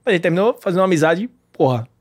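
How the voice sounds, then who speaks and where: A man's voice: high voice, lively intonation, racing. A man from northeastern Brazil hosting a podcast in a casual, informal conversational way. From northeastern Brazil, Pernambuco. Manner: informal, interjects short confirmations. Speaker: podcast host. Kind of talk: casual interview chat.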